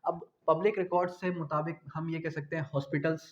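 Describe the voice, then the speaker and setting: Male lecturer, Pakistani accent, slightly high pitch, didactic, repeats key phrases, dull lo-fi recording. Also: fairly even intonation, quick pace. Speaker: law lecturer. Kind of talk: explanatory lecture, reading out legal articles and explaining them. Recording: dull, lo-fi audio.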